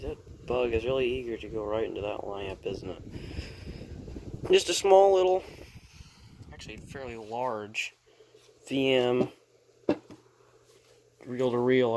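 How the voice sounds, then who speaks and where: A man with a mid-range voice talks through his work in an unscripted, casual way. He sounds offhand, as if half talking to himself at the bench. The handheld recording carries clear background noise in a small workshop. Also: mid-range voice. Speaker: hobbyist electronics repairer showing equipment. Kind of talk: casual unscripted show-and-tell narration.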